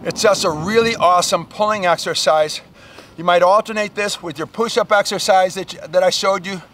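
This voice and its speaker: High-voiced energetic male fitness coach. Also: moderate pace, a little hiss or hum. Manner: energetic, emphatic, casual slang. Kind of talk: outdoor workout demonstration. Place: outdoor park playground.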